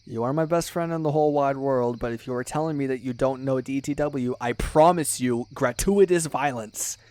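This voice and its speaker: A man's voice: mid-range voice, normal speed, mellow, natural voice. Man acting as an effusive, dramatic best friend. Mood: mock outrage. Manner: effusive, dramatic, gushing with mock-serious exaggeration. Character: excitable, sentimental male best friend and ASMR superfan. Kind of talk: ASMR roleplay acting, casual one-sided chat.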